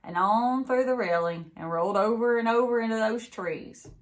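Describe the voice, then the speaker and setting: A woman's voice: fairly high pitch, moderate pace, pitched above the performer's own voice, lightly acted. A woman voicing a talkative, folksy local man in a storybook who recounts things in a grimly matter-of-fact way.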